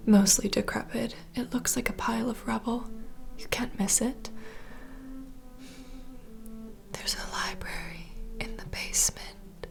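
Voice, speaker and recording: A woman playing a knowing, secretive vampire bartender in an ASMR roleplay, speaking in a soft breathy whisper as an unhurried, gentle mentor. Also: on the high side. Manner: unhurried, confiding storyteller. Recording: close whisper over clear ambient noise.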